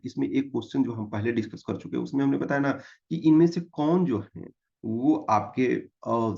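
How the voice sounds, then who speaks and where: Gravelly Indian man teaching, muffled sound. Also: medium pitch, a little mellow. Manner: informal, mixes Hindi with English terms, reads questions aloud slowly. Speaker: exam coaching teacher. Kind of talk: explanatory lecture working through exam questions. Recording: dull, lo-fi microphone sound.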